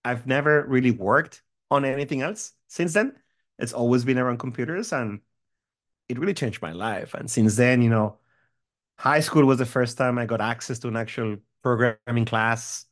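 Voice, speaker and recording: A man's voice: mid-range voice, normal speed, a bit bright. A man, chatting as a guest, friendly and rambling. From Mexico. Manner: friendly, rambling, many fillers and restarts. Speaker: guest, tech company founder. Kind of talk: interview chat.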